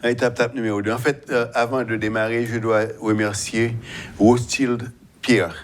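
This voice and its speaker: Man, halting and explanatory. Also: mid-range voice, level tone, slight background noise. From United States. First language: English. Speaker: guest commentator. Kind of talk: explanatory talk show interview.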